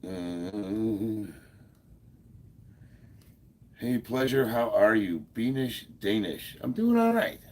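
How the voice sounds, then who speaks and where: A man in his fifties talks in a very low, gravelly voice that sounds a little stuffed up. He chats easily with viewers from his computer mic at home, chuckling and filling gaps with loose fillers. A faint hum of room noise sits behind him. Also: very animated, slow pace. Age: 50s. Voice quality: deep, gravelly voice. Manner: laid-back, chuckles often, many fillers. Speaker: livestream host. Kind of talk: casual livestream chat, reading viewer comments.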